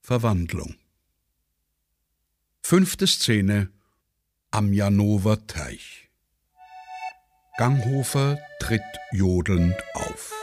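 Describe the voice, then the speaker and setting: A man narrating a radio play, reading stage directions in a measured, deliberate way. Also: mid-range voice. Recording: dry studio sound with faint music.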